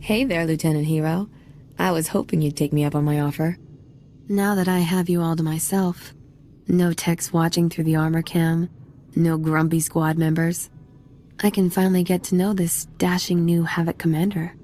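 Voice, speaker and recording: An actress plays an impulsive, confident woman soldier in a game cutscene. Her voice sits on the low side and is soft-edged and warm, with teasing playfulness. Faint ambience runs underneath. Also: fairly even intonation, normal speed, a little hiss or hum, close to an everyday voice. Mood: flirty, teasing. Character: flirtatious, cocky, impulsive female undercover army sergeant. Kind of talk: video game cutscene acting.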